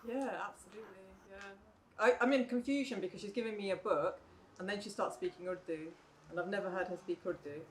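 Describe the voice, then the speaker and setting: A middle-aged woman, a mother talking reflectively in a casual podcast chat, often pausing with "um" and "you know". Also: medium pitch, crowd noise. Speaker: guest, mother of the other guest. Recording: faint background noise.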